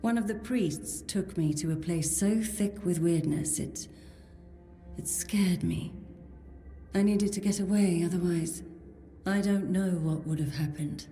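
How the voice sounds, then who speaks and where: A woman's voice: fairly low pitch, a little slow, warm tone, faint music behind, natural voice. A woman playing a weary, sardonic horned female captive in a fantasy video game, dry and guarded. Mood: guarded, somber. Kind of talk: video game character dialogue.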